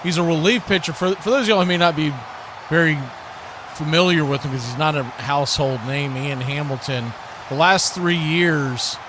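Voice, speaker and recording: A man doing live football play-by-play in a folksy way, chatting to viewers between plays. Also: a little high. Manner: folksy, excitable on big plays. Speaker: radio play-by-play announcer. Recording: clear background noise.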